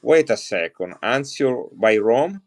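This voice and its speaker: A man's voice: mid-range voice, animated, slow, soft background music. A middle-aged man from Italy with an Italian accent, chatting enthusiastically to viewers as he shows and sells coins on a live stream. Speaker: coin seller on a live stream. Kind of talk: casual live-selling chat.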